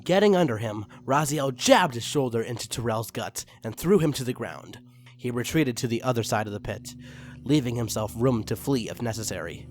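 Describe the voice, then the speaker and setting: A man's voice: mid-range voice, lively intonation, moderate pace. A man reading fan fiction aloud as a dramatic story narrator.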